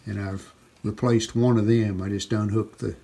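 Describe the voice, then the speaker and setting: A man's voice: lowish voice, little pitch movement, moderate pace, mellow. A man giving casual, unscripted hands-on repair commentary, thinking aloud in short phrases as he works. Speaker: hobbyist radio restorer presenting a repair video. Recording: clearly noisy home-video sound.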